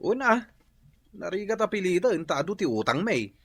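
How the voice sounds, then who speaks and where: A man's voice: high-pitched, sing-song, normal speed, a bit bright, breathy edge, exaggerated voice. A man playing an exasperated, thrifty village husband in a comedic radio drama, scolding and exclamatory, with an Ilocano accent. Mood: resigned.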